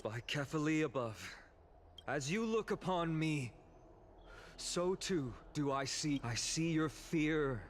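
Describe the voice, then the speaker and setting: An actor playing an earnest young male hero who gives a solemn, measured speech in a video game. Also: on the high side, lively intonation, a little hiss or hum. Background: game music.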